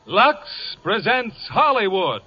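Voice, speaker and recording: A man's voice: high voice, very animated, slow, heavy background noise. A man with an American accent announcing a sponsored radio show in formal 1950s style. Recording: dull vintage radio recording, loud music. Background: loud music.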